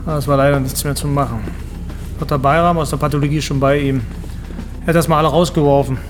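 Actor as earnest, higher-voiced police detective. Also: male voice, steady tone, fast talker, background noise, natural voice. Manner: matter-of-fact, cooperative. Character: earnest, dutiful male police detective colleague. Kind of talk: radio crime drama acting.

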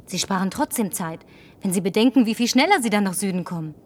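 An actress dubbing a brash, lonely young woman who survived the end of the world, teasing and sharp-tongued. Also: mid-range voice, expressive, quick pace, distant mic, close to an everyday voice. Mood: wistful. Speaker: Linda, survivor driving a car. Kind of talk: German film dub.

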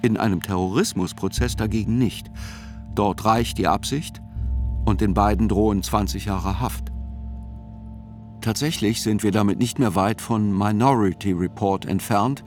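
A man narrating a radio play as a court reporter, in measured, articulate literary reportage. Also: on the low side, lightly acted. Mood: measured, reflective. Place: studio. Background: music.

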